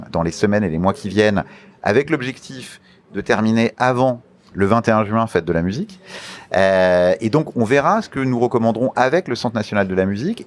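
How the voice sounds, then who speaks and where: Low-voiced Frenchman, formal and deliberate. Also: a little hiss or hum. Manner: formal, deliberate, hesitant phrasing with repeated words. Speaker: media regulator official being interviewed. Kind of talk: radio interview, explanatory institutional talk.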